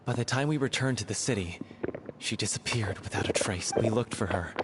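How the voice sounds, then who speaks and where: A man playing an earnest, grieving young stage actor in a video game, speaking in a measured, dramatic way. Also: lowish voice, very noisy, natural voice. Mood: somber. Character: earnest grieving young theatre troupe actor, heartfelt storyteller.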